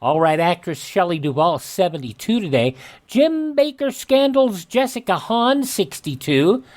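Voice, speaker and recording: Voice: mid-range voice, big pitch swings, unhurried, a little mellow. A radio host reading scripted segments in a folksy way, dropping in personal asides.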